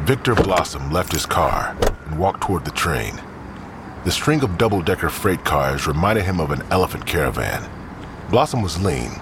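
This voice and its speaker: A man's voice: low voice, background noise. A man narrating scripted crime fiction as a storyteller.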